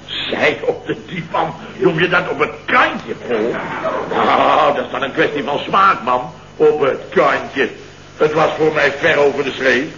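A man's voice: fairly high pitch, unhurried, a crowd in the background. An actor playing an exasperated, easily flustered office boss in a radio comedy from around 1970, sputtering and repeating his words. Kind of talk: 1970s Dutch radio comedy acting. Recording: dull, noisy, roomy old radio recording.

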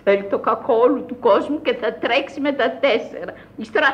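An actress playing an eccentric, bossy old countess in a commanding, theatrical radio drama style. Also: female voice, on the high side, lo-fi sound, natural voice. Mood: scheming, bossy. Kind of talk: Greek radio theatre acting.

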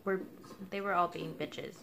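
A woman's voice: on the low side, fairly even intonation, a little slow, creaky, crowd noise. A young woman in her twenties, a streamer chatting bluntly with callers from her bedroom. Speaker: streamer taking calls. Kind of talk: casual chat. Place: bedroom with a sofa, indoors. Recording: echoey with callers' voices behind.

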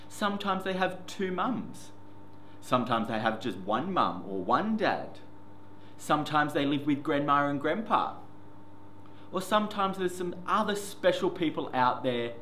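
A man hosts a children's story time with a bright, clear-cut delivery and very lively, sing-song pitch. He takes his time over the simple words for small listeners. The room adds an echo, with faint noise behind him. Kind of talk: picture book read-aloud for young children. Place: indoor classroom reading corner.

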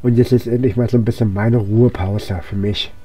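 A hoarse man with a cold giving casual, rambling gameplay commentary, with lots of fillers and restarts. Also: fairly low pitch, fairly even intonation, brisk, mellow, faint music behind. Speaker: let's play gamer.

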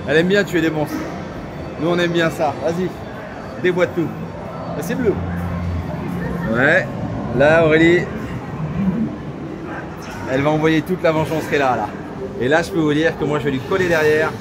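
A man's voice: fairly high pitch, steady tone, normal speed, long pauses, a crowd in the background, background noise. A man vlogging casually and enthusiastically, using informal slang. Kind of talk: casual chat to camera. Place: large indoor arcade. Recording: loud arcade music and machine din behind.

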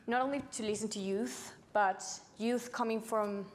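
A woman's voice: medium pitch, fairly even intonation, normal speed. A nineteen-year-old woman from Chile with a Chilean accent, a native Spanish speaker, talking conversationally as an activist on a panel. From Santiago, Chile. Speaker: youth climate activist panelist. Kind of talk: personal story in a panel talk. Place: stage at an indoor panel event. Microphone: lapel mic.

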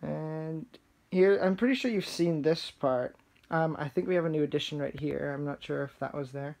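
Young adult male vlogger, a touch high in pitch; informal, low-key home-tour delivery into a handheld camera. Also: moderate pace, vocal fry, darkish tone. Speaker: gaming YouTuber. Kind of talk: casual home tour vlog. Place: small furnished basement rooms indoors. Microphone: handheld camera's built-in mic.

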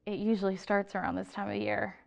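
A teasing coworker spinning ghost tales. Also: female voice, mid-range voice, flat delivery, brisk, vocal fry. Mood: conspiratorial. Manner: hushed, conspiratorial storytelling. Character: teasing young female theater coworker telling ghost story. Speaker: theater coworker. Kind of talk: film drama acting.

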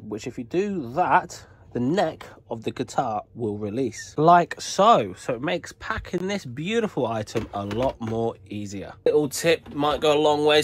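A British man in his thirties with a congested head cold, chatting casually to a handheld camera at home about reselling. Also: mid-range voice. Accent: British English. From United Kingdom. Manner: chatty, upbeat patter with slang. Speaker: eBay reseller vlogger. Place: home storage room and parked car, indoors. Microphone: handheld camera at arm's length.

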